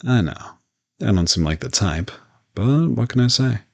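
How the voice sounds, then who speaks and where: A man playing a gentle, courteous old vampire in an intimate audio roleplay, reassuring and lightly teasing as he speaks to the listener. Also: mid-range voice, lively intonation, moderate pace, creaky. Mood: conversational, friendly. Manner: soft-spoken reassurance, politely formal with teasing asides.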